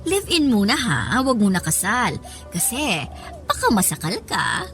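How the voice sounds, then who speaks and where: A haughty middle-aged mother in a radio drama, two-faced and condescending. Her pitch swings widely and theatrically, and music plays under her voice. She slips English into her talk. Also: very animated, moderate pace, fairly bright tone. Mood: fake cheer.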